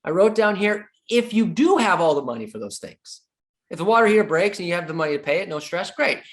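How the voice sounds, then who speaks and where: Man, middle-aged, sales coach, lively swinging pitch, emphatic, repeats himself, jokey. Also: fast. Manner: emphatic, repeats key points, jokes with the audience. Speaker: real estate sales coach. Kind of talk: sales training talk.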